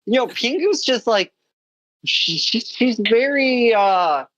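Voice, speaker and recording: A man's voice: very high-pitched, animated, fairly bright tone, no room sound. Streamer host, male, North American accent. Casual teasing banter, stop-start phrasing, muffled dry mic. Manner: teasing, halting, many restarts. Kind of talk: casual chat on a gaming stream. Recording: dull, dry mic sound.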